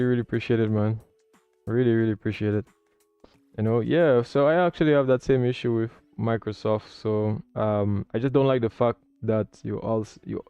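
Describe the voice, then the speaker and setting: A man's voice: mid-range voice, creaky, warm tone, smooth, no room sound, muffled recording. A man hosting a livestream, talking casually as he comments and explains as a learner. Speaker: livestream host learning air traffic control.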